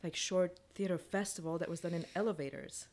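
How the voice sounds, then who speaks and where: Very low-pitched woman, frank talker. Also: a little fast, a little mellow. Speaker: playwright on a panel. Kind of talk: casual panel discussion.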